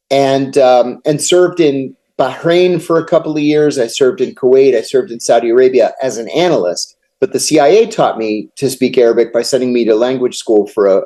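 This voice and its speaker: A middle-aged American man, a guest on an interview podcast, telling long anecdotes in a measured way. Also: mid-range voice, dark tone. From United States. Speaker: guest, former intelligence officer. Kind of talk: storytelling interview answers.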